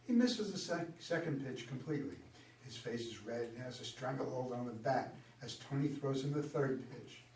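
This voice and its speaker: A man's voice: medium pitch, expressive, normal speed, a little mellow. A man reading stage directions as narrator at a staged play reading. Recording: echoey room, far from mic, background noise.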